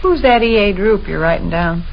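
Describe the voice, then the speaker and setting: A woman's voice: medium pitch, expressive, normal speed, gravelly. A woman playing a thrifty, plain-spoken, challenging American homebody wife in a 1930s radio comedy. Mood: skeptical, scolding. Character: Sade, thrifty, nagging, folksy homebody wife. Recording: dull, noisy 1930s radio recording.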